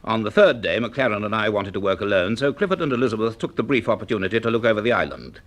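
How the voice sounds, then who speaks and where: A man's voice: on the low side, lively intonation, fast talker, fairly bright tone. A man playing an earnest, educated scientist and narrator in a radio drama. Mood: measured, reflective.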